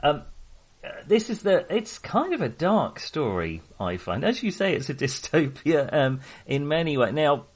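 Male film-show co-host, mid-range voice, conversational and unscripted, hesitant, halting delivery. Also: mid-range voice. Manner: hesitant, halting, trailing into half-finished thoughts. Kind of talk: casual film discussion.

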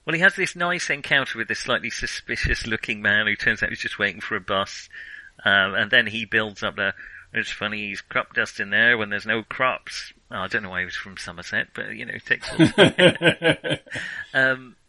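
British guy on a film podcast, really bright forward voice, bit halting, dry sound. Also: lowish voice, no room sound, low-quality recording. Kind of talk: casual film discussion.

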